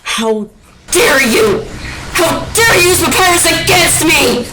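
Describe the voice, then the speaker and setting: A woman voicing a bitter, desperate male skeleton in a dramatic comic dub, intense and halting, over music. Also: extremely high pitch, lively intonation, heavily acted, rough recording. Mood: outraged.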